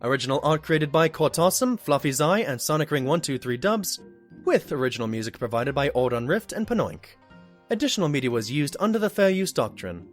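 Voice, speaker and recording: A man's voice: on the high side, very animated, brisk, soft background music. A man reading scripted closing credits as an announcer for an audio drama.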